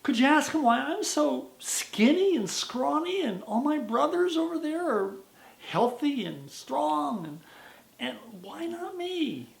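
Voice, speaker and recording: Pitiful skinny wolf, voiced by a storyteller, high and loud with a gravelly edge, eager. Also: big pitch swings, slow, heavily acted. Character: skinny scrawny pitiful little wolf, friendly. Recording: roomy echo.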